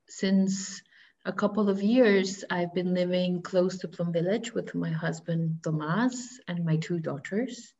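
A young woman from Costa Rica gently introducing herself and welcoming people on a video call. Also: on the low side. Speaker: ceremony host. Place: indoor room. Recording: muffled video call audio.

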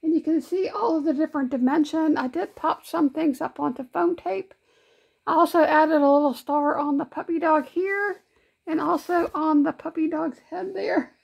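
A woman's voice: sits high, moderate pace, creaky, lo-fi sound. A woman hosting a crafting channel, chatty and laughing often, with her voice worn from a long day. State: losing her voice. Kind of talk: casual vlog talk. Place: home craft room.

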